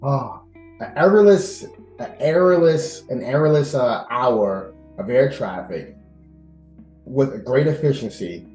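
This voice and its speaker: A man in his thirties talking casually as an interview guest, animated and expressive, repeating phrases for emphasis. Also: mid-range voice, a little slow. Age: 30s. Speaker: podcast guest, air traffic controller. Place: indoors at host's house. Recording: roomy echo, faint music behind.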